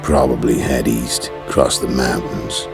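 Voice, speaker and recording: A gruff warrior rumbles extremely low and slowly. He is laconic and hardened, with loud music behind this game dialogue. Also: level tone, full character voice. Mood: calm, terse. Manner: terse, unhurried. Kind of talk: video game voice acting.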